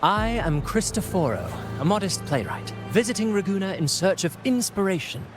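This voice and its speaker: An actor playing an eloquent playwright and storyteller in a video game, with a polite, formal, theatrical manner. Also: male voice, high-pitched, sing-song, normal speed, music in the background. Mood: courteous storytelling. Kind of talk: video game dialogue acting.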